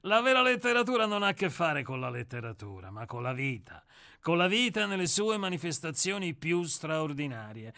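A man's voice: on the high side, very animated, normal speed, gravelly, sharp tone, no room sound, studio quality, heavily acted. An actor reading the part of a famous male novelist who claims to be a murderer, speaking in a lecturing, sardonic, self-assured way in a radio drama reading. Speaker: character in a radio play reading.

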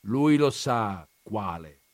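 A man's voice: on the low side, expressive, takes it slowly, darkish tone, dry recording. A man reading aloud, voicing a middle-aged uncle who speaks in a curt, grudging way.

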